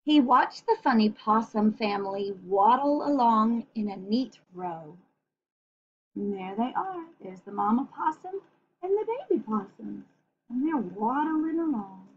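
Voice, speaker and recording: A woman reading a picture book aloud for children in an expressive, gentle way, with chatty asides. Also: a little high, a little slow, slight background noise. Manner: expressive, gentle, addressing young listeners. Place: small room at home. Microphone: computer webcam at arm's length. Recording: dull, muffled webcam recording.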